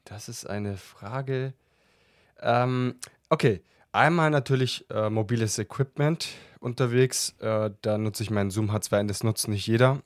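A man's voice: on the low side, unhurried, no room sound, studio quality. A talkative man hosting a casual podcast chat, often breaking into laughter while answering questions. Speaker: podcast host.

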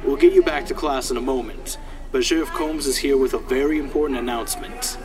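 A man playing a brisk, authoritative school principal in an audio drama, speaking over a noisy assembly crowd. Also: mid-range voice, sing-song, lightly acted. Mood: firm.

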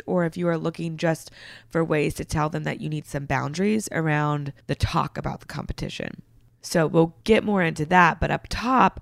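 Woman podcast host, hoarse gravelly voice, chatty and earnest, dry close sound. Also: sits low, no echo. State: hoarse, recovering lost voice. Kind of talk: casual podcast talk.

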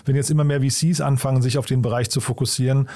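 A man's voice: mid-range voice, little pitch movement, quick pace, warm tone. A man hosting a podcast, talking in an informal, enthusiastic, conversational way with guests. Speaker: podcast host.

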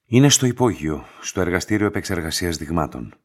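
Deep, cold, commanding man. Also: big pitch swings. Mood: guarded, authoritative. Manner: terse, commanding, detached. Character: cold, controlling, enigmatic male laboratory master. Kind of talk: radio play acting.

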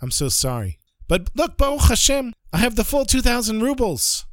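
A man's voice: sing-song, normal speed, no room sound, clean studio sound. A man voicing an anxious male rabbi who pleads and stammers in a raised, strained voice. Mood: relieved, apologetic. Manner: pleading, stammering, high-strung.

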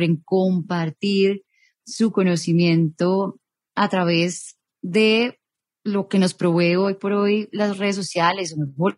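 A woman's voice: fairly low pitch, a little mellow, dry recording. A Colombian woman in her thirties, a journalist guest in an interview, speaking thoughtfully and rephrasing as she goes. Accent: Colombian Spanish. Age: 30s. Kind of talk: interview conversation.

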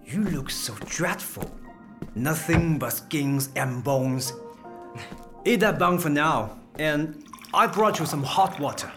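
A woman's voice: sits low, lively intonation, slow pace, music in the background. A woman playing a caring but firm male archives curator in a radio drama, authoritative and fussing. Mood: fond, fussing.

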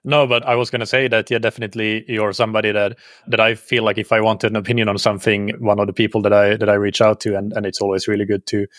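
A man hosting a podcast in a casual, conversational way, somewhat halting as he forms his questions. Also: fairly low pitch, level tone, dry recording, studio quality. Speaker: podcast host.

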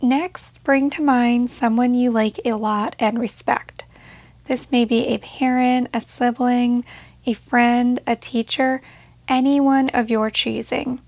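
A woman leading a guided meditation in a gentle, measured way, leaving pauses between short phrases. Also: a little high, fairly even intonation, no room sound.

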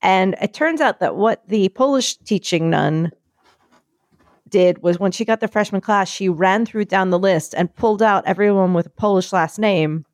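A woman chatting casually, telling a story and acting out quotes in a higher voice. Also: normal speed, no room sound, studio quality. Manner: animated, acts out quotes in higher voice.

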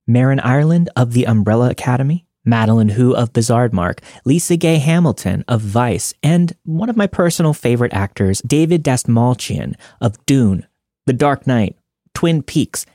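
Male podcast host, dark mellow tone, fairly low pitch, very dry recording, scripted promo read. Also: dry recording.

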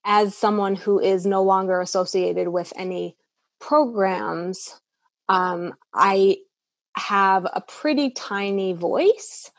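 A woman speaks with a somewhat low voice, recorded close and dry with no room sound. She answers as a clinician in an interview, earnest and a little halting, often restarting her sentences. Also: gravelly, no echo. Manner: earnest, hesitant with many restarts and fillers. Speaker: eating disorder clinician, guest.

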